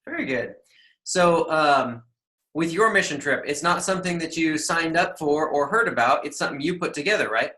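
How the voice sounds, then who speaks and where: A man hosting a podcast, easygoing and quick to laugh, moving from a scripted intro to a casual interview. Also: on the high side, lively intonation. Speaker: podcast host. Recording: loud music behind the voice.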